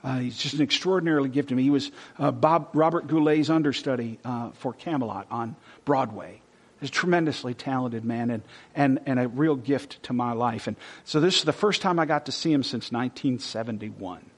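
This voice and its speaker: A male pastor preaching a sermon, reading scripture loudly and dropping to soft, hushed whispers. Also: medium pitch, fairly quick. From Texas.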